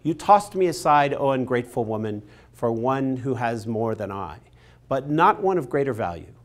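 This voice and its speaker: Male lecturer in his forties, mid-pitched, expressive and emphatic, scripted studio video lesson on a lapel mic. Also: moderate pace. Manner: expressive, emphatic storytelling delivery. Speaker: literature lecturer. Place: studio in front of a painted backdrop.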